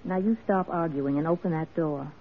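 A woman's voice: low-pitched, moderate pace, phone-quality sound, a little hiss or hum. An actress playing a genteel, compassionate, determined lady reformer in an old-time radio drama, earnest and insistent. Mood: firm, insistent. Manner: earnest, insistent, well-mannered. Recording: thin vintage radio recording.

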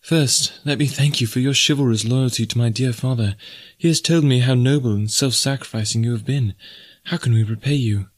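A man reading a young woman's lines in a raised, soft voice for an audiobook. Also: medium pitch, fairly quick, no echo, natural voice. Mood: grateful. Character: young woman, earnest and gentle.

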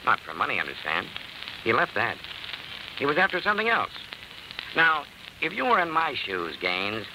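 A shrewd police detective presses his suspect with wry, pointed remarks. His medium voice moves in lively swings over the noise of an old radio crime-drama recording. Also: medium pitch, moderate pace, pauses often. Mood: pressing, sardonic. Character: shrewd, dogged, sardonic male police detective.

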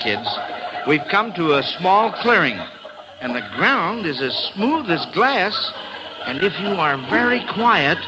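A man's voice: a little high, low-quality recording. A man hosting a classic radio quiz show for children, with folksy exclamations and theatrical scene-setting on an old broadcast recording.

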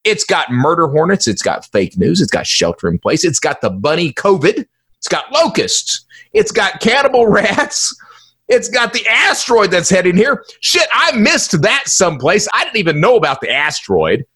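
Animated, husky-voiced man hosting a podcast. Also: high voice, normal speed. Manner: theatrical, folksy, emphatic, with rhetorical questions. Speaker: podcast host. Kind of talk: informal solo podcast monologue.

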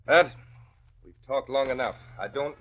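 An actor playing a callous, scheming nightclub gangster boss in a 1940s radio crime drama, talking in tough underworld slang. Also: male voice, medium pitch, measured pace, slight background noise, close to an everyday voice. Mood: smug menace. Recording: dull old radio recording.

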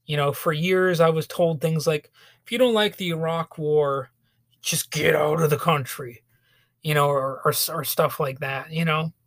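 A man hosting a solo podcast, ranting sarcastically with lots of fillers and restarts, his voice a little hoarse from a cold. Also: a little high, steady tone, a little slow, a bit bright, no echo, clean studio sound. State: slightly hoarse, getting over a cold. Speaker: podcast host. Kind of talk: solo opinion podcast monologue.

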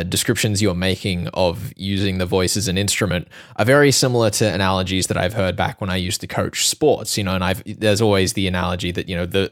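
A man hosting an interview, asking long questions in a fluent, conversational way with many casual fillers. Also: low-pitched. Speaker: radio host and interviewer.

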